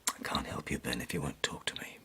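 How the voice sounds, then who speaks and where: A man acting in an audio drama as a stern, concerned schoolmaster, measured and probing as he questions a pupil. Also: extremely low pitch, fairly even intonation, sharp tone, husky, whispered, exaggerated voice. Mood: concerned, firm.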